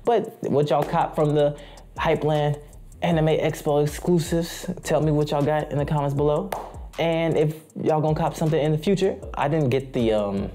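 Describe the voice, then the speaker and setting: A man's voice: a little high, fairly even intonation, moderate pace, warm tone, a little hiss or hum. A young man chatting casually in a haul video, hyped and slangy, repeating his phrases. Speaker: streetwear haul vlogger. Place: small indoor room full of anime posters.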